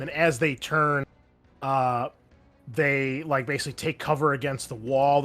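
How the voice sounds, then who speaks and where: A man's voice: mid-range voice, a bit bright. A young man narrating combat as game master, loose and chatty, with many ums and uhs. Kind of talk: narrating a tabletop game over voice chat.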